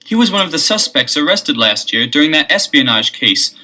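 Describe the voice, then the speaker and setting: An actor playing a curious, easygoing young amateur detective in a 1970s record-album drama. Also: male voice, a little high, very animated, fairly quick, bright, forceful tone, exaggerated voice.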